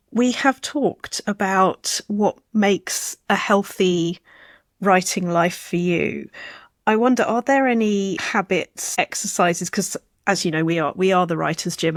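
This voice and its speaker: Lowish-voiced woman hosting an interview, dry sound. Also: no echo. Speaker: podcast host and interviewer. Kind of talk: conversational interviewing.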